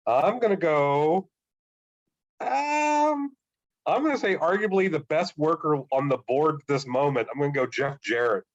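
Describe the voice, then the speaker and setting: A man's voice: on the high side, animated, a little slow, dull audio. A man co-hosting a casual wrestling podcast, stuffy from a cold and thoughtfully weighing his choices. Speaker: podcast co-host.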